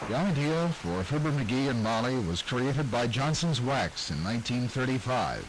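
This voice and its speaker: A man's voice: mid-range voice, normal speed. A man with an American accent delivering scripted documentary narration as the narrator. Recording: rain noise over old broadcast audio. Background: steady rain.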